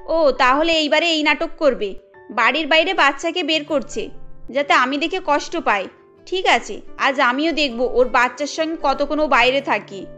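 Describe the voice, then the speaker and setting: A woman's voice: high-pitched, normal speed, fairly bright tone, soft background music, pitched below the performer's own voice. Anandita, a woman doing a scornful scheming young wife. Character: scheming jealous young woman, sharp-tongued.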